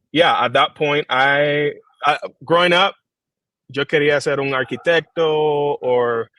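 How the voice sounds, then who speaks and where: A man in his thirties, a company founder, answering questions in a casual video call interview, halting and restarting often and switching between English and Spanish. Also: mid-range voice, no echo. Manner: relaxed, halting, many fillers and restarts. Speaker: founder and creative director, guest.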